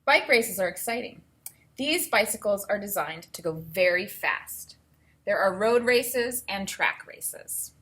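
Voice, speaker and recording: A woman teacher reading aloud to children and thinking out loud, explaining things step by step in an instructive way. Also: mid-range voice, animated, a little slow. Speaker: elementary school teacher.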